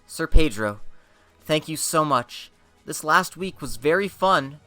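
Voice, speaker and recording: A man's voice: fairly high pitch, lively intonation, slow pace, sharp tone, no echo, soft background music, pitched above the performer's own voice, heavily acted. A man voicing a dignified young princess with formal, regal, commanding phrasing. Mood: resigned, gentle.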